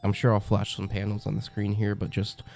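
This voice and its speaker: A man's voice: fairly low pitch, monotone, brisk, creaky, a little mellow. A young man hosting a comic review channel, congested from a cold, talking in a chatty, enthusiastic way with lots of ums and uhs. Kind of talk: casual review monologue.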